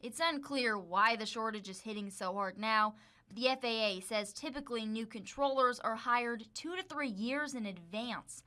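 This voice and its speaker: A woman's voice: on the high side, moderate pace, pushed and bright. An American woman working as a television news reporter, delivering a live report with scripted narration. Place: on location at an airport for live segments.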